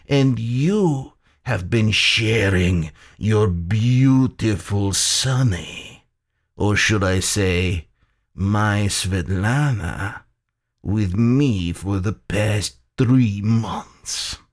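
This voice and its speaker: Man acting an oily, gloating general, slow and menacing. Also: on the low side, exaggerated voice. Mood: mocking. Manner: sultry, theatrical, deliberate.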